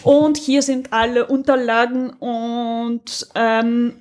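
A woman's voice: a little high, level tone, slow pace, darkish tone. A woman co-hosting a podcast in a casual chat, explaining a recommendation and often searching for her words. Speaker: podcast co-host.

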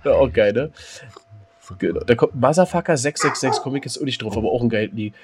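A man's voice: mid-range voice, fast, darkish tone, husky. A man co-hosting a casual podcast, joking around and breaking into sung snippets. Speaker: podcast co-host. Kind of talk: casual chat.

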